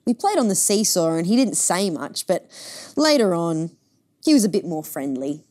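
A woman's voice: on the low side, sing-song, normal speed, a little mellow. Woman reading as a chatty, blunt little girl. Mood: cheerful. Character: cheerful, blunt, easygoing young girl. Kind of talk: picture book read-aloud.

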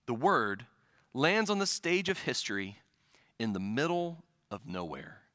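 A man in his forties, a pastor from the Midwest, preaching a sermon at a church pulpit on a headset mic, swelling loud and dropping to soft asides. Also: mid-range voice, big pitch swings, a little slow, no echo, clean studio sound. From American Midwest. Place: church sanctuary stage at a pulpit.

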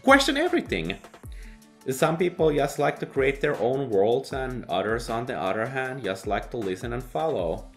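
A man narrating a sardonic book review, dry and mocking with sudden loud outbursts. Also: mid-range voice, big pitch swings, normal speed, soft background music. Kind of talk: sardonic video essay narration.

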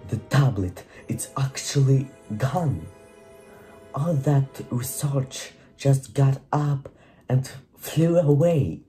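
An eager game-world archaeologist father, put on by a man reading along. He speaks with an odd put-on accent, unhurried and soft in tone. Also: medium pitch. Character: excitable middle-aged father archaeologist.

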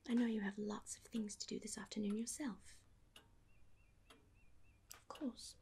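A woman's voice: mid-range voice, level tone, slight background noise. Woman acting a whispering genteel Regency lady. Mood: gentle, fond. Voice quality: soft, breathy whisper. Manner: genteel, unhurried, old-fashioned phrasing. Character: genteel Regency married sister, affectionate and house-proud. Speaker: ASMR roleplay performer. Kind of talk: whispered ASMR period roleplay. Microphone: close microphone.

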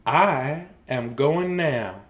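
A man voicing a small, cheerful, determined female storybook pig who announces things simply and deliberately. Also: medium pitch, lively intonation, voice pushed down. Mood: matter-of-fact.

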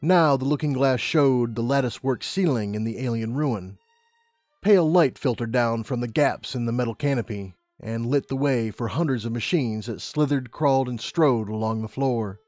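A man's voice: mid-range voice, normal speed, a little mellow. A man reading scripted story narration as an audiobook narrator. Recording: long reverb, music underneath.

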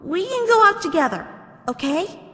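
A woman voicing a kind, timid human girl in an animated comic dub, gentle, hesitant, earnest and forgiving. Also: very high voice, moderate pace, full character voice. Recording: long reverb, music behind the voice.

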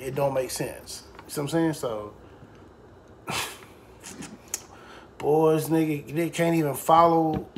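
Man, mocking reaction host, profane, talks to viewers, slightly high voice. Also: slight background noise.

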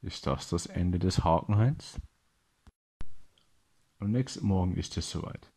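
Low, mellow, husky male storyteller. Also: a little slow. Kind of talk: children's story narration.

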